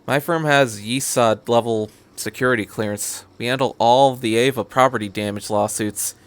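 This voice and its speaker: Guy doing a dry office lawyer type in a story, cynical, gossipy, mid pitch. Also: fairly even intonation, moderate pace, natural voice. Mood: matter-of-fact.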